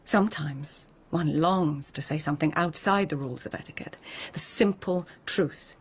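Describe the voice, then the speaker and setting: An actress playing a proud, witty lady-in-waiting of tenth-century Japan in a radio drama, hushed and measured, with formal poetic phrasing. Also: low voice. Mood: wry, poetic. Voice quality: breathy, hushed. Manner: measured, courtly, pointed.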